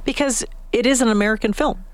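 A woman's voice: a little high, quick pace. A woman chatting casually as host of a live radio interview, close on a studio microphone. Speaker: radio morning show host.

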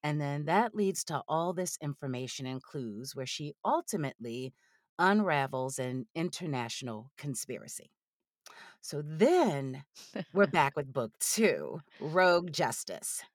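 A woman who narrates audiobooks, speaking as an interview guest in an animated, conversational storytelling way. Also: low-pitched, a little slow, dry recording, studio quality.

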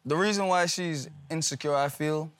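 A man's voice: fairly high pitch, normal speed, fairly bright tone, smooth. A man from Guyana, defending himself before a judge in a long, rambling way. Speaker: litigant.

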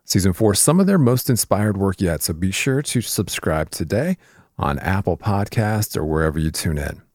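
Male announcer, deep and animated, scripted podcast promo read. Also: normal speed, no echo, clean studio sound.

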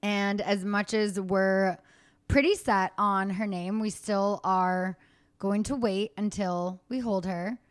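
A woman's voice: medium pitch, fairly even intonation, takes it slowly, clean tone, no echo, studio quality. A pregnant woman hosting a podcast, chatting candidly as she answers fan questions. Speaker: podcast host. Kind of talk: casual chat.

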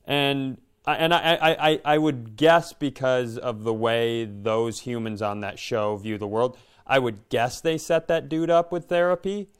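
A man co-hosting a casual chat, thinking aloud in a halting, reflective way and often breaking off to rephrase. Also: mid-range voice, clean tone. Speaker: podcast co-host.

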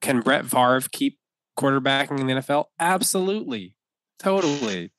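A man's voice: medium pitch, animated, normal speed, a bit bright, no room sound. A man in his thirties talks as a podcast guest about his wedding photography work. He rambles, restarts sentences and laughs often. His mid-range voice sounds dry and close, with no room around it. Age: 30s. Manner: rambling, lots of fillers and restarts, laughs easily. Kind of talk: casual podcast conversation.

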